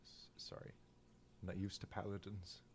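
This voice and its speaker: A man's voice: low-pitched, little pitch movement, moderate pace, a little hiss or hum. A man chatting casually as a player in a live tabletop game, talking through his moves.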